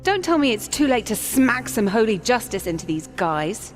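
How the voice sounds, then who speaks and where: A woman's voice: fairly high pitch, very animated, moderate pace, in a large hall, soft background music. An actress voicing a feisty, zealous holy warrior woman in a video game cutscene. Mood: fired up.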